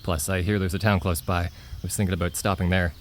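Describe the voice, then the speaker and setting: A man's voice: low voice, level tone, quick pace, slight background noise, lightly acted. A man playing a polite, slightly awkward traveler in an audio drama, casual and apologetic. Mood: awkward, friendly.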